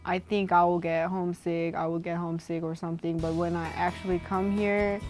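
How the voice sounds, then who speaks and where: Woman from South Korea, lowish casual voice. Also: flat delivery, fairly quick, darkish tone, soft background music. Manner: casual, many "like" fillers. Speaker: architecture student.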